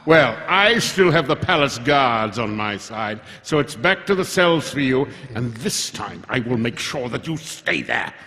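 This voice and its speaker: A menacing radio play villain, sharp forceful voice, swings up and down, big echoey hall. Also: male voice, mid-range voice, lively intonation, measured pace, hardly any pauses. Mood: gloating. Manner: theatrical, menacing, exclaiming. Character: defiant, panicking male villain clinging to power. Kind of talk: live stage radio drama acting. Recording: echoey hall live stage recording.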